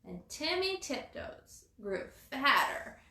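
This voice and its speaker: Animated, bright-voiced woman storyteller. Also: high-pitched, slow. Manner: expressive, dramatizing. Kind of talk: children's storybook reading. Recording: roomy echo.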